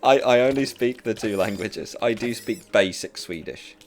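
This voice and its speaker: A man's voice: fairly low pitch, normal speed, slight background noise. A Norwegian man with a sore throat, chatting casually and rambling while streaming a game, with faint music behind him. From rural Western Norway. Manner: chatty, rambling, many restarts. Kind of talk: casual chat while gaming.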